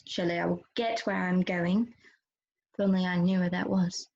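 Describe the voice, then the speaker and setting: A woman's voice: on the low side, steady tone, moderate pace, gravelly, a little mellow, echoey room, lo-fi sound. A woman playing an earnest, polite, puzzled young girl in a children's readers' theater performance. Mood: resolute. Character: lost, polite but questioning young girl.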